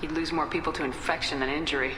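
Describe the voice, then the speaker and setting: A woman's voice: sits low, fairly even intonation, fast, natural voice. A woman playing a confident, caring military commander in a video game, direct in manner. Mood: probing. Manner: direct, probing questions. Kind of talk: video game dialogue acting. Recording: echoey hall, music behind.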